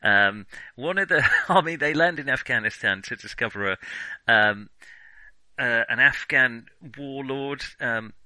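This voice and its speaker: Male podcast co-host, very bright, sharp voice, dry close sound, chatting casually and hesitantly about films. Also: mid-range voice, a little slow, no echo, poor audio. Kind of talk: casual film discussion.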